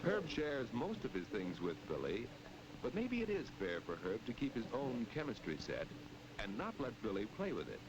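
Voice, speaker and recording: A man's voice: mid-range voice, wildly swooping pitch, normal speed. A man narrating in the style of a 1940s educational film, on a noisy old film soundtrack.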